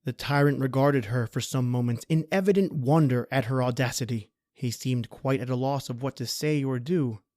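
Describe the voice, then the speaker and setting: A man's voice: medium pitch, moderate pace, few pauses, a little mellow, no room sound, studio quality. A man narrating a scripted horror story in a dramatic radio-play style.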